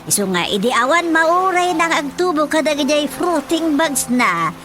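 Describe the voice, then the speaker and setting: High-voiced, chatty Ilocano wife, played by a woman. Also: big pitch swings, normal speed, a bit bright. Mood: animated gossip. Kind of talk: Ilocano radio drama comedy acting. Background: music.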